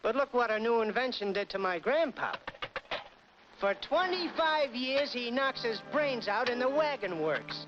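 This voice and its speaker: A brash but easygoing American working stiff from an old cartoon, very high in pitch and slow to speak. He is folksy and doubting, and the sound is a muffled film soundtrack with loud music. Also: expressive. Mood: skeptical. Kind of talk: 1940s cartoon voice acting.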